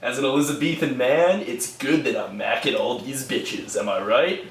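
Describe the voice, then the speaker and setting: A woman's voice: extremely low pitch, moderate pace, reverberant, recorded from a distance, a little hiss or hum. A woman voicing a vain, whiny young Elizabethan man in a comic puppet show, slangy and theatrical. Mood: smug.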